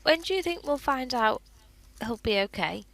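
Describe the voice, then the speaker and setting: A woman's voice: fairly high pitch, expressive, normal speed, a bit bright, slight background noise. A woman playing a hopeful, sensitive young girl in a wartime radio play. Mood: sad. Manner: earnest, youthful.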